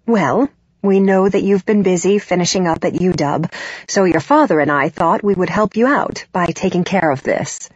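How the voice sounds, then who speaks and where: A woman voicing a condescending, controlling middle-aged mother in an audiobook, with a husky voice. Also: on the low side, expressive, moderate pace, darkish tone, no echo, lo-fi sound. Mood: falsely sweet. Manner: haughty, disapproving, condescending.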